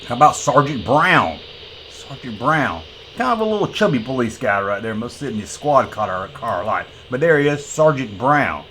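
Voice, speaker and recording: A man's voice: medium pitch, big pitch swings, moderate pace, fairly bright tone. A folksy man hosting a toy review, chatting casually with playful CB slang and repeating himself. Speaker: toy review video host. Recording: faint background noise.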